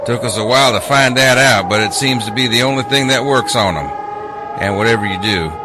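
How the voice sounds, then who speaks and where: A man plays a composed soldier in a radio drama. His mid-pitched voice reports in a curt, businesslike way. Vehicle rumble and clear noise sit behind him. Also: a little fast, close to an everyday voice. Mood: composed, grim. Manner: curt, composed, matter-of-fact. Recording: noisy field radio feed, vehicle rumble.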